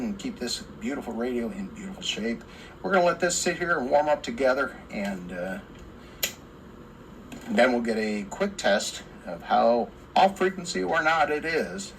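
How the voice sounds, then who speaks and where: A man's voice: mid-range voice, low-quality recording. A man presenting a casual hands-on radio repair, rambling and repeating himself, enthusiastic about the equipment. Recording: clear background noise.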